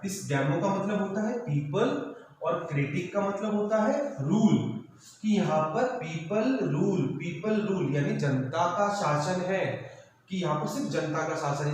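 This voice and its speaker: A man in a classroom lecture walks students through civics ideas, repeating each point and calling them "beta". His voice sits a little high for a man. The recording rings with heavy room echo over faint background noise. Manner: explanatory, repeats points, calls students "beta", mixes English terms into Hindi. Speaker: school teacher.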